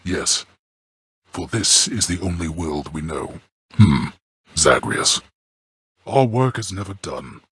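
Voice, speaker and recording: A stern old-world god king for a game, really deep, super dry close sound, haughty and measured. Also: lively intonation, a bit bright, husky, dry recording, heavily acted. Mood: formal, grudging. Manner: formal, commanding, disdainful, measured. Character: stern, imperious god-king father ruling the underworld. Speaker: video game voice actor. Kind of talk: theatrical scripted video game dialogue.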